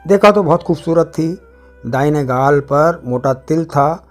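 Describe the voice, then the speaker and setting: A man's voice: fairly high pitch, a little slow, soft background music. A man narrating a literary short story in a scripted, dramatic reading style.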